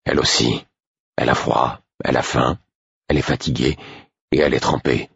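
A stern, weary man, acted by a male audiobook reader, reproves with restraint and patience. The voice is extremely low and husky, in a dull, echoing recording. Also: moderate pace, fairly bright tone, full character voice. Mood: dry, reproving. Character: stern, tired man, gruff but patient and protective. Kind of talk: audiobook character acting.

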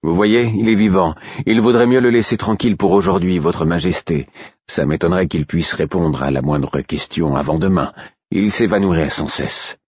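A man voicing a calm, professional male healer respectfully addressing his king in an audiobook. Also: sits low, normal speed, slightly rough, dry recording, muffled recording.

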